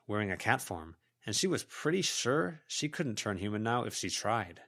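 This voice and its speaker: A man's voice: fairly low pitch, a bit bright. A man reading scripted fiction as an amateur audiobook narrator.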